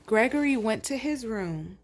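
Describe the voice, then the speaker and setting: A woman's voice: fairly high pitch, animated, unhurried. A woman reading aloud as a children's storytime host, with chatty asides spoken straight to young listeners. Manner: addresses young listeners directly, conversational.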